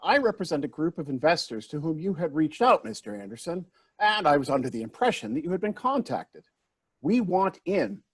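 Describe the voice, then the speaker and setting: A man's voice: a little high, sing-song, a little fast, husky. A man acting in a play reading as a polite, apologetic investor, formal and slightly bumbling. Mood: eager, courteous. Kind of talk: virtual stage play reading.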